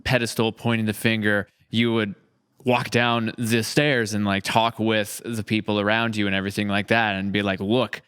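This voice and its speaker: A man's voice: fairly low pitch, little pitch movement, moderate pace, fairly bright tone, smooth, no echo, studio quality. A man hosting a casual podcast interview, talking in an effusive, appreciative way. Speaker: podcast host and series creator.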